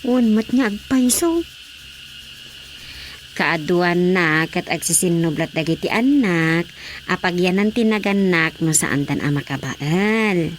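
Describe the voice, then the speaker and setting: A woman's voice: fairly low pitch, animated, slow. Inquisitive gossip, woman, scolding questions, radio drama, light background noise. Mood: gossipy. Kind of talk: Ilocano radio drama acting. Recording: faint background noise with crickets.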